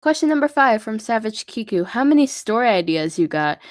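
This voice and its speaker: A woman's voice: on the high side, big pitch swings, a little fast. A young woman hosting a podcast, reading listener questions and chatting casually, laughing often. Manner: giggly, encouraging backchannels. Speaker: podcast host.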